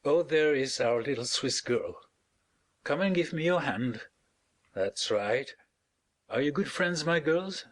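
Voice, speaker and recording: A woman voicing a genial, wealthy middle-aged father who speaks calmly in an audiobook. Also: sits low, takes it slowly, pauses often, pitched below the performer's own voice, full character voice. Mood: affable. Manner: calm, affable.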